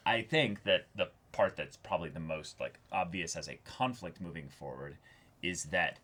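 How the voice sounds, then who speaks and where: A man co-hosting a reaction show, talking casually in an earnest, reflective way with halting, repeated words. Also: deep voice, expressive, sharp tone. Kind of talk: casual discussion of an anime episode.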